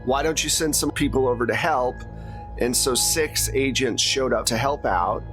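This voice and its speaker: A man's voice: medium pitch, normal speed, fairly bright tone, faint music behind. A man running a tabletop game as game master, recapping and narrating in an informal, explanatory way.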